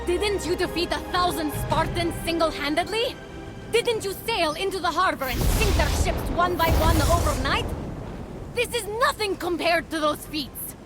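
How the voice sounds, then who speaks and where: An actress playing an earnest, defiant young heroine and little sister in a dramatic video game cutscene. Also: female voice, very high-pitched, lively intonation, moderate pace. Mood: hurt, challenging. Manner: emotional, dramatic. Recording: loud music behind the voice.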